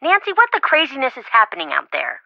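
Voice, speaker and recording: A high, very animated flighty young woman, the well-meaning best friend in a game. She rambles and blurts in an over-eager way. The sound is dry and a little dull. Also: fast, creaky, dry recording, full character voice. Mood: taken aback. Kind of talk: video game voice acting.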